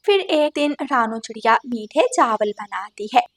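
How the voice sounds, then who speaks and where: Woman, high voice, scripted storytelling, cartoon narrator. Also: animated, unhurried.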